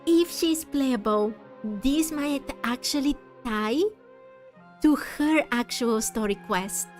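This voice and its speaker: A woman streamer chats casually with her viewers as she plays. Her voice is high and she speaks slowly and gently, a little haltingly. Soft music plays behind her. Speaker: game streamer. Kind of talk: casual live-stream commentary with chat.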